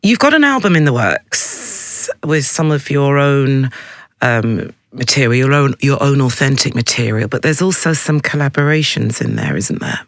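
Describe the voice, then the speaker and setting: A man's voice: medium pitch, very animated, moderate pace, dry recording. A man hosting a radio interview in a studio, speaking in a direct, effusive, emphatic way. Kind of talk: broadcast interview chat.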